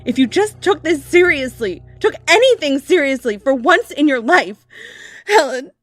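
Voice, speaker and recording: Reproachful, pleading young woman played by an actress, high swooping voice, horror audio drama peacemaker. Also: expressive, moderate pace, no echo, soft background music. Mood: hurt, reproachful.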